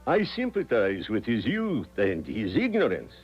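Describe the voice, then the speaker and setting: Regretful bureaucratic official, formal, apologetic, animated intonation, 1970s radio play, muffled lo-fi recording. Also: male voice, lowish voice, measured pace, slightly rough, faint music behind, exaggerated voice. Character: regretful, bureaucratic Russian official sentencing a prisoner. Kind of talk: 1970s radio drama acting.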